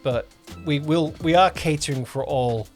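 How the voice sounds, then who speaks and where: A man's voice: on the high side, measured pace, faint music behind. A man co-hosting a podcast as a producer and director, chatting casually in a loose, hesitant, conversational way. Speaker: podcast host, audio drama producer and director. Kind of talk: casual two-person podcast chat.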